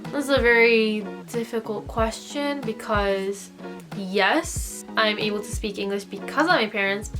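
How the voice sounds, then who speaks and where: Young American woman chatting, higher voice over music. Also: unhurried. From United States and Japan. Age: young adult. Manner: chatty, informal, thinking aloud. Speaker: YouTuber answering viewer questions. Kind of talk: casual Q&A chat to camera. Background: background music.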